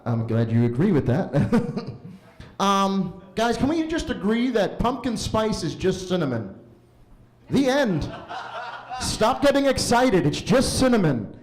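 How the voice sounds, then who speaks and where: Stand-up comic, man, late 30s; slightly high, very animated delivery, roomy basement sound. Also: takes it slowly, long pauses. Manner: animated storytelling, jumps into high voices for quoted lines. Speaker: stand-up comedian. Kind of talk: stand-up set. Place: basement open mic venue.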